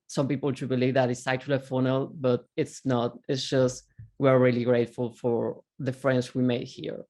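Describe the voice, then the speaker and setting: A man from Argentina speaks English with an Argentine Spanish accent, his first language being Spanish. He talks as a panelist in a loose, unscripted way, pausing on fillers and restarting his sentences as he searches for words. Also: medium pitch, a little mellow. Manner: halting, many fillers and restarts. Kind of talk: casual panel talk.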